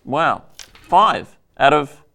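A man in his thirties hosting a casual podcast chat with a deadpan delivery. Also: fairly high pitch, drawn-out words, creaky. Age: 30s. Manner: deadpan, reads out patron names. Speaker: podcast host.

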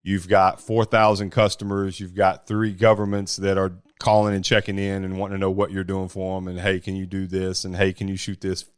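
Big-voiced blunt man, low and deep. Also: completely flat delivery, fairly quick, few pauses, clean tone, dry recording, studio quality. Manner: blunt and forceful, with mild swearing. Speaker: startup founder. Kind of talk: casual podcast conversation.

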